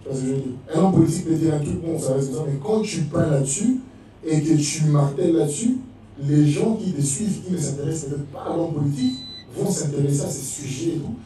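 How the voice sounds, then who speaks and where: Guy chatting on a panel, really mellow dark voice, mic far off. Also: on the high side, fast talker, a little hiss or hum. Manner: conversational, checks listener follows. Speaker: panel speaker. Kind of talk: informal panel discussion. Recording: very echoey hall, distant mic, faint music.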